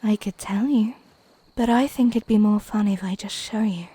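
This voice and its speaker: A woman playing a flirty, teasing mermaid in an intimate ASMR roleplay, coy and playful with breathy half-whispers. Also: medium pitch, moderate pace, mellow, dry recording, close to an everyday voice. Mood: coaxing.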